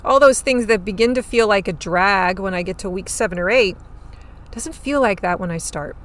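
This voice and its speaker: A woman hosting a solo podcast on farm marketing, her voice a little hoarse and scratchy, talking in an upbeat, chatty way while chewing gum. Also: medium pitch, normal speed.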